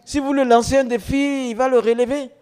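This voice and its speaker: High-voiced man preaching in a reverberant hall. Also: little pitch movement, a little fast, darkish tone, smooth. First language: non-French mother tongue. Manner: emphatic, repetitive, rhetorical questions. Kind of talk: testimony preaching to an audience.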